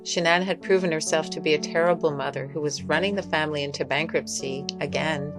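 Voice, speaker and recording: A woman's voice: deep voice, fairly even intonation, fairly quick, rough recording. A woman reading a scripted critical commentary as a video essay narrator. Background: music.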